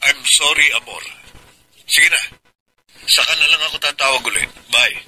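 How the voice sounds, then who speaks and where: A man acting a wayward husband and father in a Filipino radio drama, earnest and expressive. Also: a little high, normal speed, noisy background, lightly acted. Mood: curt, apologetic.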